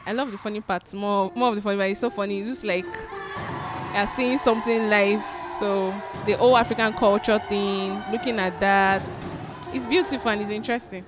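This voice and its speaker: Music plays behind a woman. She has a medium-pitched voice and gives casual, off-the-cuff audience feedback. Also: steady tone, brisk. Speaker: audience member. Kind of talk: casual vox-pop reaction.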